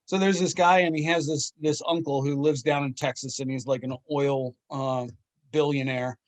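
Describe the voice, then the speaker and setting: Male podcast host, slightly high voice, rambling storyteller. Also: level tone, normal speed. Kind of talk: casual group chat, telling a joke.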